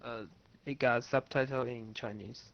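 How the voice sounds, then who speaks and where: A young man called on during an English class, feeling his way through short, halting replies, caught on a muffled recording. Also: medium pitch, fairly even intonation, vocal fry. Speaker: student answering in class.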